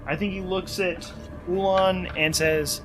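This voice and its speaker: A man's voice: high-pitched, little pitch movement, unhurried. A man working as game master and host of a solo tabletop game, thinking aloud as he narrates rules and story. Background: music.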